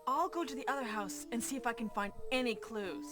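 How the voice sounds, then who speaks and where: A woman's voice: a little high, a little fast, close to an everyday voice. Brief: actress, young female agent in a horror game, clipped, over music. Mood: eager. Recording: game audio with music behind.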